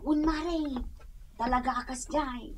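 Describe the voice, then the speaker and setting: A woman's voice: sits high, fairly even intonation, slow pace, background noise. A woman acting a nosy, easily flustered village gossip in an Ilocano comedy radio drama, exclamatory and theatrical, with an Ilocano accent. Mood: gossipy.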